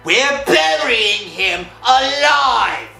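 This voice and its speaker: A man playing a gruff, suspicious, long-winded police constable in a 1960s radio drama. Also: extremely high pitch, animated, very slow, bright, forceful tone, faint music behind, exaggerated voice. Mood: suspicious, grumbling. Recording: old radio recording, echoey.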